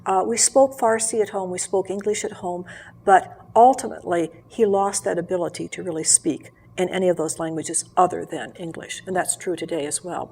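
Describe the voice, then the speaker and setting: An older woman as an interview guest, telling her life story in an earnest, measured way, with fillers and restarts. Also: lowish voice, fairly even intonation, gravelly, dark tone, slightly rough. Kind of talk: reflective personal storytelling. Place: local TV studio.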